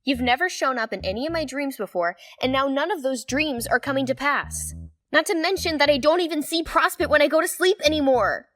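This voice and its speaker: A high, girlish fan-dub voice, performed by a woman plays an earnest and suspicious teenager. She is bossy and lectures emphatically, with faint music in the background. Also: lively intonation, a little fast, fairly bright tone. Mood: accusing, earnest.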